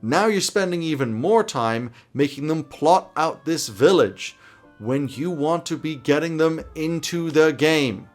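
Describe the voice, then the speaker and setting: A man's voice: a little high, expressive, a little slow. Man in his thirties, music behind. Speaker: YouTube host giving game master tips. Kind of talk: scripted advice video to camera. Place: small home office, indoors.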